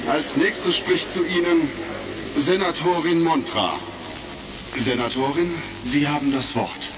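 A man's voice: on the high side, lively intonation, slow pace. A man playing a formal, courteous senate chairman in a retro sci-fi audio drama. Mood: insistent. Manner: formal, ceremonious. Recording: crackly vintage vinyl-style recording, distant.

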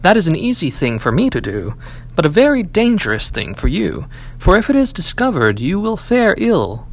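A man reads as a crafty little magical man serving his master in a fairy tale. The voice is rather high and animated, obliging and cautioning. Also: normal speed, full character voice.

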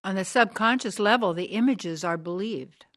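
A serious woman voiced by an actress speaks in a radio play at a medium pitch. Her statements are brief and emphatic, said with firm conviction. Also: normal speed, fairly bright tone, close to an everyday voice. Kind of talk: radio drama acting.

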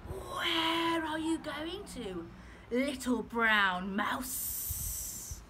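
A woman voicing a slithery, hungry snake in a storybook reading, every word stretched out slowly. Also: high-pitched, steady tone, crowd noise, pitched above the performer's own voice. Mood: sly. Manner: very slow, stretched out. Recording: loud background noise.

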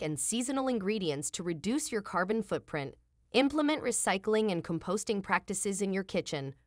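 A woman's voice: medium pitch, no echo. Female narrator, scripted promo read, upbeat and polished, very dry sound. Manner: polished, upbeat delivery with lively intonation.

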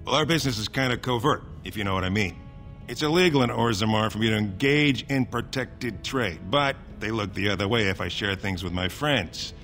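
A gruff dwarf trader. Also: male voice, fairly low pitch, a little fast, a bit bright. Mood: blunt. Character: gruff male dwarf merchant, covert trader. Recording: game audio with faint music.

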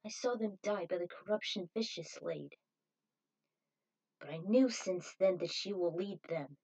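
A woman's voice: fairly low pitch, measured pace, creaky, a little mellow, rough recording. A woman voicing the fatherly spirit of a dead mentor, solemn and encouraging, in a story reading. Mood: solemn urging.

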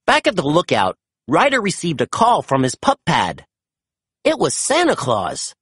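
A storybook narrator reading aloud to children in an expressive, animated way with dramatic emphasis. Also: mid-range voice, a little slow, breathy edge, dry recording, clean studio sound.

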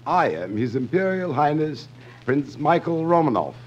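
A man's voice: a little high, sing-song, normal speed, darkish tone, breathy edge. A man playing a haughty, pompous Russian prince in 1950s comic radio acting. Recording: muffled old radio broadcast, faint audience.

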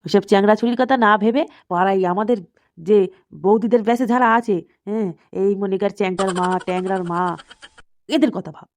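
A woman's voice: mid-range voice, brisk, darkish tone, close to an everyday voice. A woman voicing a self-pitying, testy old village man who grumbles repetitively in a comedy cartoon, with faint noise. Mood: chiding. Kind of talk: comic cartoon dialogue.

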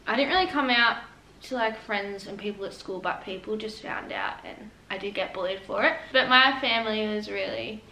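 A woman's voice: mid-range voice, moderate pace, gravelly, pushed and bright. A nineteen-year-old woman, chatting in a bubbly, easy way while co-hosting a Q&A vlog from her living room couch. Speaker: vlogger co-host. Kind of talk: casual Q&A chat. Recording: echoey room, mic at a distance, faint hiss.

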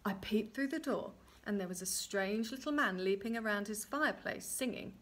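A woman's voice: medium pitch, moderate pace, fairly bright tone, natural voice. A woman voicing an eager young groom's lad in a storybook tale, telling his news with urgency. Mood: eager, urgent.

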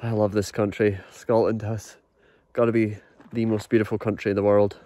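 A man's voice: on the low side, flat delivery, normal speed, warm tone. A man in his thirties casually narrating a travel vlog, enthusiastic and marveling at the scenery, filmed on a handheld camera. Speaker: vlogger. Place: outdoors on hills and in a cafe. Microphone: handheld camera at arm's length.

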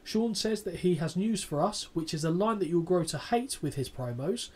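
A man's voice: high voice, animated, normal speed, slightly rough. A man in his thirties co-hosting a wrestling podcast, reading a match recap aloud with dry, sarcastic asides and casual swearing. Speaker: podcast co-host.

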